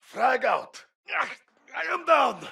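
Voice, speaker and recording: A man's voice: very high voice, sing-song, slow pace, gravelly, bright, forceful tone, breathy edge, full character voice. A man playing a tense soldier barking clipped, urgent combat callouts over a radio in a video game.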